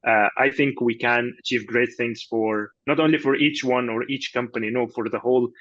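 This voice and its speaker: Halting man on a dull video call. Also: mid-range voice, fairly even intonation, fairly bright tone, dry recording. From Egypt. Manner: halting, repeats words, many fillers. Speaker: guest speaker. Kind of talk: online meeting speech.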